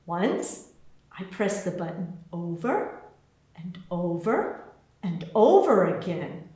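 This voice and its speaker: A woman author reading her children's picture book aloud in an expressive, deliberate storytelling way, with spaced phrases. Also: fairly low pitch. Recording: very echoey room.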